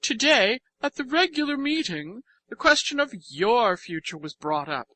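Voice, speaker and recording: Woman acting a pompous, high-pitched orphanage matron. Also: very animated, pushed and bright, exaggerated voice, poor audio. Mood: pompous, measured. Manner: formal, pompous, measured. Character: stern matron of an orphanage, affable, self-important. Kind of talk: audiobook character voice.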